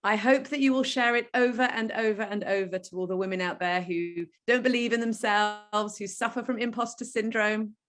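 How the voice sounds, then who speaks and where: A woman moderating an online event on a video call, praising a speaker effusively and often trailing off softly. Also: a little high, normal speed, few pauses. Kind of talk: enthusiastic video call hosting.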